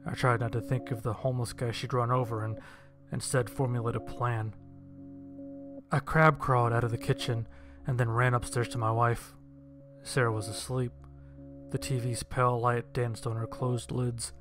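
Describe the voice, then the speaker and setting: A man's voice: medium pitch, level tone, normal speed. A man reading a first-person horror story as a dramatic audio narrator. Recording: music bed behind the voice.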